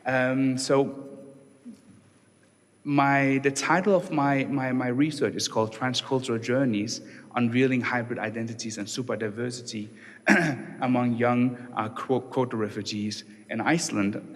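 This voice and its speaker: A young adult man from Denmark, a doctoral researcher giving a relaxed, conversational conference talk with many fillers and restarts. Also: mid-range voice. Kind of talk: conference presentation. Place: church hall. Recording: long reverb of a church hall.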